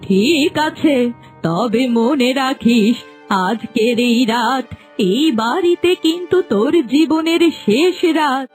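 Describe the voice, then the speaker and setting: A woman playing a harsh, domineering older mother-in-law in an animated folk story. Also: sits high, takes it slowly, soft background music. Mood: angry, threatening.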